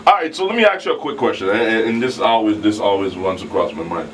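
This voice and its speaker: A man with a low voice talks casually in a panel discussion, often doubling back on words as he finds his phrasing. He is off the mic, with clear air-conditioning noise behind him. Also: expressive. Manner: halting, repeats words as he searches for phrasing. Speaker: talk show panelist. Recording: distant mic, clear background hum.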